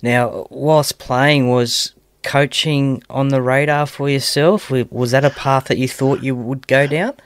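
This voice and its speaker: A man's voice: mid-range voice, fairly even intonation, unhurried, clean tone. An Australian man hosting a podcast interview, conversational, polite and respectful toward his guest.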